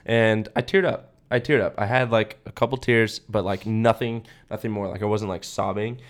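A man's voice: on the low side, steady tone, normal speed. A man in his twenties chatting casually as a podcast co-host, rambling and repeating himself with lots of filler words. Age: 20s. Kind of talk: casual chat about films.

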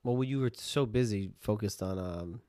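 A man's voice: fairly low pitch, normal speed, mellow, no room sound, studio quality. A man co-hosting a podcast in casual, slangy, teasing banter. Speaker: podcast co-host.

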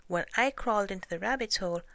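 A woman voicing an indignant, suspicious male python, full of excuses, in an animated folktale. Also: mid-range voice, quick pace, gravelly, no echo, pitched above the performer's own voice. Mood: aggrieved. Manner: indignant, self-justifying.

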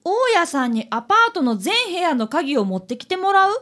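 A woman playing an excitable, timid boy of about eleven, a detective's apprentice. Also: extremely high pitch, fairly quick, heavily acted. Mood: earnest. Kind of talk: radio drama acting and narration.

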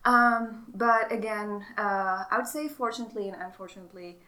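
A young woman from Morocco, speaking, at a mid-range pitch. She chats easily and laughs at herself, often stopping to hunt for a word. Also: moderate pace, bright, forceful tone, rough recording. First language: Arabic. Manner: chatty, giggly, self-deprecating, searches for words. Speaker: guest. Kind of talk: casual interview.

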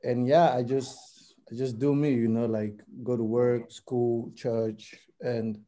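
Interview guest: man, 20s, from Nigeria, native Yoruba speaker, very dark and relaxed tone, mid pitch. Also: slow. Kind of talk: casual chat.

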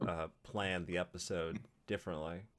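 A man in his thirties hosting a casual podcast, ranting with lots of swearing and sudden loud outbursts. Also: low-pitched, normal speed. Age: 30s. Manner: profane, animated rants with sudden loud outbursts. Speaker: podcast host.

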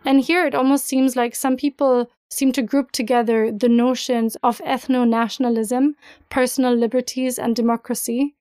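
A woman's voice: a little high, level tone, moderate pace, a little mellow, dry recording, clean studio sound. A woman presenting a prepared talk on politics, earnest and fluent.

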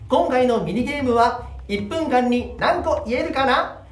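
Male host for amateur radio show. Courteous, lively intonation, roomy recording with music underneath. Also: very high voice, unhurried, distant mic. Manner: polite, animated. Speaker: host, trainee voice actor. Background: background music.

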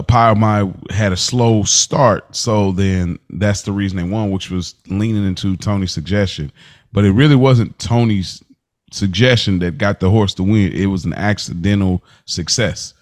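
A man hosting a podcast, chatting casually about a TV episode in a thoughtful, roundabout way. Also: fairly low pitch. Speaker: podcast host. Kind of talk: casual TV recap chat.

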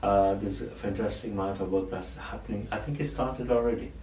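A man's voice: lowish voice, fairly even intonation, fairly quick, a little mellow. A man hosting a community podcast, asking questions eagerly and agreeing often with fillers. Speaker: podcast host. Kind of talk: casual interview questions. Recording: echoey room, distant mic, faint noise.

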